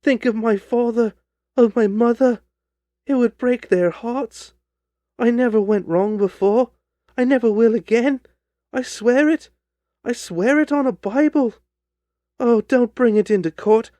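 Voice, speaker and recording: A cringing, desperate crook, played by a male storybook reader, high and loud. He wails and pleads shrilly, drawing out his words in terror, recorded close and dry. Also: dry recording. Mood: desperate begging. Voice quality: shrill when begging, shrieking. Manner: wailing, pleading, drawn-out.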